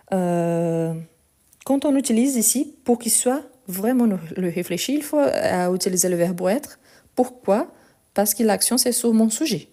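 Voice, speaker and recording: Mellow-voiced woman teacher. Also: mid-range voice. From France and Brazil. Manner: didactic, repeats key points, insistent. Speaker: French teacher. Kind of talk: live online grammar lesson.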